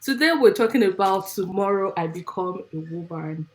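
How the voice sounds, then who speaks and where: A woman's voice: mid-range voice, moderate pace, rough recording. Bubbly Nigerian woman podcast host. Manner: bubbly, laughing, excitable. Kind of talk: podcast hosting.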